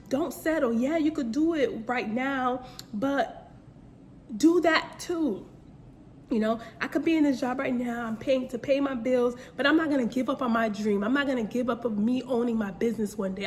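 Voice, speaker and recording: A young woman with a somewhat high voice gives a casual faith-based pep talk straight to her listeners. She circles back on her points, repeats phrases and fires rhetorical questions. A faint hiss sits under the recording. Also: a little mellow. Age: young adult. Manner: earnest, repetitive, direct address with rhetorical questions. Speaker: motivational speaker.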